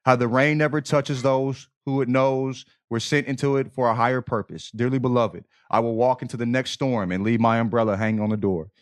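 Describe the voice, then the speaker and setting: Male podcast co-host, mid-pitched voice, casual and heartfelt, informal street-level phrasing. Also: fairly even intonation, a little fast, few pauses. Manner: profane, repeats "you know what I'm saying". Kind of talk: casual book club chat.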